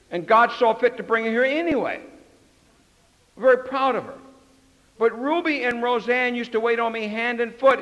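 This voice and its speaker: A man's voice: very high voice, fairly bright tone, big-room sound, poor audio. An older man telling his personal story at a recovery meeting, candid and joking, acting out the voices of others.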